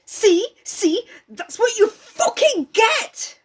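A woman's voice: very high voice, very animated, slow pace, voice pushed up, heavily acted. Woman reading as a fierce, domineering boy chief. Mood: taunting. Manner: demanding, taunting.

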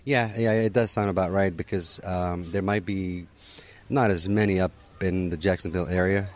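A man from Morocco, answering questions at an outdoor holiday gathering in a relaxed, conversational way, often saying "you know" and restarting his sentences. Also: low voice, normal speed.

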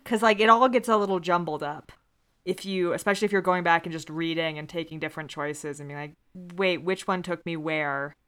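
A woman's voice: fairly low pitch, fairly quick, fairly bright tone, smooth. Casual, irreverent woman chatting. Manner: irreverent, sweary, playful. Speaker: podcast co-host. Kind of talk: casual chat.

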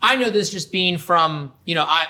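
A man hosting a casual interview, speaking haltingly and repeating words and phrases for emphasis. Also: on the high side, animated, pushed and bright. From Iran. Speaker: interview host.

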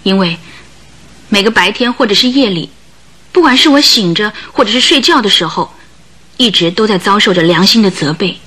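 A woman playing a remorseful, well-spoken circus owner's wife in a radio drama, measured and confessional. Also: mid-range voice, animated, a bit bright. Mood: solemn, remorseful. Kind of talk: Chinese radio drama acting.

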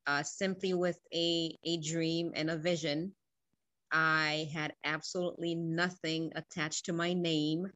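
A woman's voice: deep voice, little pitch movement, measured pace, a bit bright, no room sound. A middle-aged woman from Haiti, an interview guest telling her own story in an earnest, reflective way. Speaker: guest, school founder.

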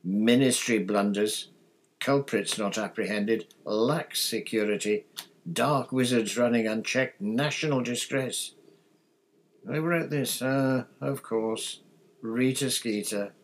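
A man plays a middle-aged father in a storybook reading. He is husky and measured, with a weary, heavy delivery that is meant to steady others. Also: mid-range voice, pitched above the performer's own voice. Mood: heavy exasperation.

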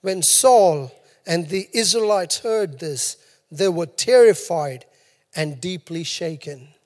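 A man's voice: sits high, a little mellow. A man preaching a sermon as pastor, emphatic and fond of repeating his key lines for effect. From New Zealand. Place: church hall. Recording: long hall reverb.

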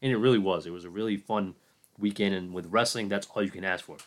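A man's voice: sits low, fast. A man giving an enthusiastic, opinionated solo recap of a wrestling event, talking casually with some fillers. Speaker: wrestling commentator.

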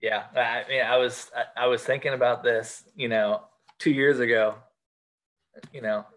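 An American man chatting casually as a podcast guest, talking haltingly and often repeating and rephrasing his phrases. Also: mid-range voice, steady tone, fairly bright tone. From United States. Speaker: podcast guest, sports card collector. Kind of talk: casual interview chat.

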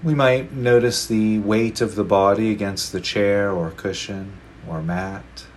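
A man leading a guided meditation, speaking slowly and gently with long pauses between phrases. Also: on the low side, a little hiss or hum. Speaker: meditation teacher.

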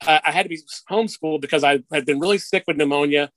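Higher-voiced middle-aged man, candid and chatty. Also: racing, a bit bright, no room sound. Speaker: interview guest. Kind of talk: casual conversational storytelling.

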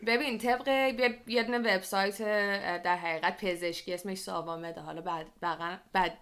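Female podcast co-host, Iranian Persian accent with English loanwords. Crisp, clean tone, conversational, explains and asks. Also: medium pitch, fairly even intonation, fairly bright tone. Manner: explanatory, poses questions to co-host. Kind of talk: conversational podcast discussion, presenting survey figures.

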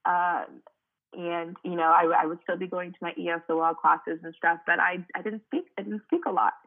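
A woman's voice: on the low side, moderate pace. A young woman from Cameroon, a native French speaker, talking casually as an interview guest, with many fillers. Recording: tinny phone-like line.

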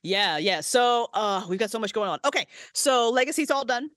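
A woman's voice: a little high, animated, moderate pace, a bit bright, no room sound, clean studio sound. A woman voice actress and writer, a podcast guest chatting casually, laughing a lot and swearing freely.

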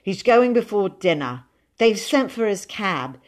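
A woman's voice: medium pitch, big pitch swings, measured pace, voice pushed up. A woman voicing an earnest, proud and stubborn little boy in an audiobook, with dogged, childish, run-on speech. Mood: aggrieved. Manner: dogged, breathless run-on boasting, childish grammar. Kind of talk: audiobook character voice.

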